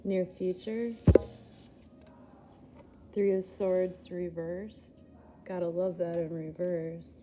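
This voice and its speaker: A woman's voice: lowish voice, fairly even intonation, slow pace, long pauses, noisy background. A woman giving a casual, chatty tarot reading, rambling and laughing at herself, a little groggy after a poor night's sleep. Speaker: tarot reader.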